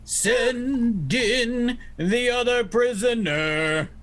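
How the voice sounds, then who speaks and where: Very high, slow-talking theatrical villain. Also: male voice, a bit bright, full character voice. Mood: mock courteous. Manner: theatrical, silky, condescending. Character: scheming, mock-courteous mad villain leader of warring vegetables. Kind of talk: 1940s radio play acting.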